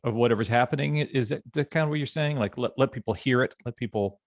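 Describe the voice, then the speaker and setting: A man hosting a podcast in casual chat, with a halting, thoughtful delivery. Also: mid-range voice, no room sound, clean studio sound. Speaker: podcast host.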